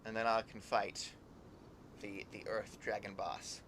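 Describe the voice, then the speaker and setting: A man's voice: on the low side, little pitch movement, fairly bright tone, a little hiss or hum. Musing man streaming a game. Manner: musing, halting, trailing asides. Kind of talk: casual live game commentary. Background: faint game music.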